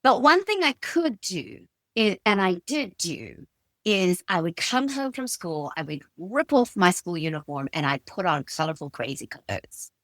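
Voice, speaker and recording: A middle-aged woman with a South African accent, answering in an interview with emphatic, expressive storytelling and personal anecdotes. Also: lowish voice, measured pace, dry recording. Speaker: guest, stylist and designer.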